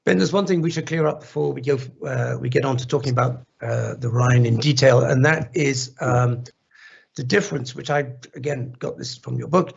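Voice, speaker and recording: A man's voice: mid-range voice, vocal fry, slightly rough. A man hosting an interview, asking long questions full of fillers and restarts. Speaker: interview host. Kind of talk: casual interview conversation. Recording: dull lo-fi audio.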